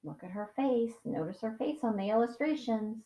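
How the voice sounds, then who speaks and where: A woman teacher reading a picture book aloud to young children, with dramatic emphasis and pauses to ask them questions. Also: a little high, mellow. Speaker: pre-K teacher. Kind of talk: expressive children's storybook read-aloud. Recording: echoey room sound.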